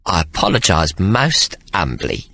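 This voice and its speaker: A man's voice: sits low, big pitch swings, unhurried, creaky, pushed and bright, husky, full character voice. A man voicing a scheming woman nanny in an audiobook, cooing and teasing. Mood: mock-humble. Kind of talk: audiobook character voice.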